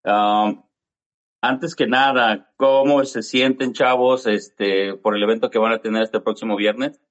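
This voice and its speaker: A man from co-hosting a casual video-call interview in a relaxed, hesitant way. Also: lowish voice, unhurried. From Mexico City. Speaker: co-host.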